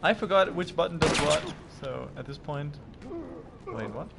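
A man talks as he plays, flustered and quick to put himself down, his voice sitting a little high and swinging widely. Loud game gunfire and noise play under him the whole time. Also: lively intonation, vocal fry. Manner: self-deprecating, flustered, swearing. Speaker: student radio host playing a video game. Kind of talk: casual gameplay chat.

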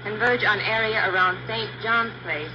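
An actor playing a brisk male police dispatcher in an old radio drama, heard over police radio on a very noisy recording. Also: sits high, highs cut off. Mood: businesslike. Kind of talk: 1950s radio drama, clipped radio calls.

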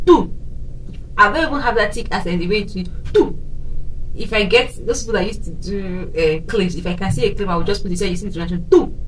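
A woman's voice: mid-range voice, far from the mic, a little hiss or hum, low-quality recording. A young woman with a Nigerian accent, chatting to camera in a casual vlog with frequent laughs. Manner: chatty, gesturing, laughing often. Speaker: education vlogger. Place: small indoor room with shelves.

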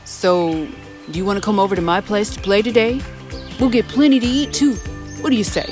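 A woman voicing a big, kind male bull in an animated children's story, speaking softly and warmly. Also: a little fast, music in the background, close to an everyday voice. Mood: friendly. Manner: soft, low and friendly.

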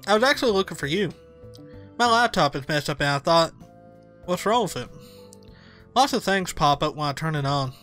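A man reading the lines of an awkward, restless young female cat in a video game, in a higher, bouncy delivery. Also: expressive, normal speed, pauses often, fairly bright tone, faint music behind. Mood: eager.

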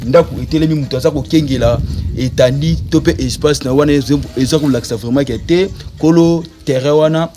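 A man's voice: medium pitch, mellow. A man speaking earnestly outdoors, thanking viewers and giving advice about land. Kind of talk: interview statement.

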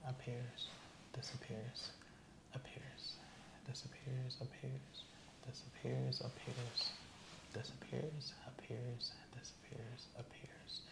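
A man giving brief, hushed, flat replies as the patient in an ASMR roleplay. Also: mid-range voice, slow pace, gravelly. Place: small indoor room set up as an exam office. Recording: slightly roomy, quiet off-mic pickup.

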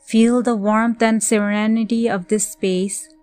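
A woman leading a scripted guided meditation in a gentle, soothing, measured way with pauses. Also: fairly high pitch, level tone, no echo. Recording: voice over background music. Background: music.

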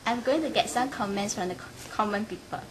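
A woman's voice: medium pitch, a little fast, lightly acted. A young woman playing an eager television field reporter in a mock live news report. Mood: upbeat. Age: young adult. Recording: noisy camcorder sound.

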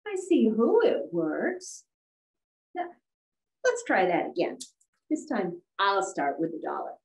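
Naive older married lady, played by an actress, vintage radio comedy, animated pitch, heard distant from the mic. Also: slow, roomy sound, heavily acted. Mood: innocent, puzzled. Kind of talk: 1940s radio comedy acting.

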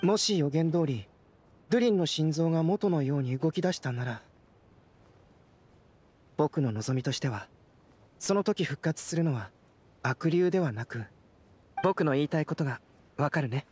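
An actor playing a calm young male alchemist who explains things in a gentle, measured way. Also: on the high side, a little hiss or hum, natural voice. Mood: analytical. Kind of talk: video game voice acting. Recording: game cutscene audio with soundtrack under it.

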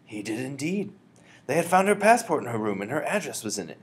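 A man voicing a calm, wise elderly grandmother who speaks in a measured, reassuring way. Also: medium pitch, slightly rough, pitched above the performer's own voice.

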